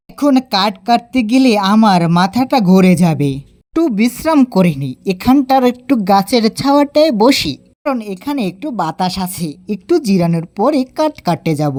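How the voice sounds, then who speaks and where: A woman's voice: medium pitch, mellow, close to an everyday voice. A woman voicing a poor, weary male farmer who talks to himself in an anxious, complaining way in a cartoon story. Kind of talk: cartoon story character dialogue.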